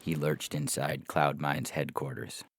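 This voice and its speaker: A man's voice: very deep voice, level tone, a little slow, a little hiss or hum. A man narrating scripted science fiction in a wry, dramatic storytelling manner. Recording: music bed behind the voice.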